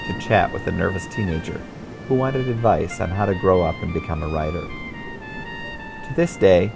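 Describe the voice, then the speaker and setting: A man's voice: low-pitched, huge pitch swings, normal speed, vocal fry, music in the background. A middle-aged American man from Michigan reading his own memoir as scripted audiobook narration. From Michigan, United States.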